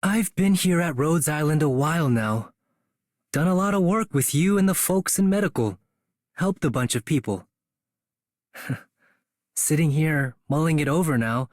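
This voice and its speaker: Earnest, higher-voiced young medic. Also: male voice, no echo, studio quality. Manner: sincere, reflective. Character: earnest, kindhearted young male medical helper. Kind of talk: game character voice line.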